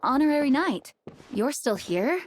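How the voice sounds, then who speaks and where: An actress voicing a composed female knight commander who speaks in a measured, formal way. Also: sits high, sing-song, slight background noise. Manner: measured, formal, gently weary.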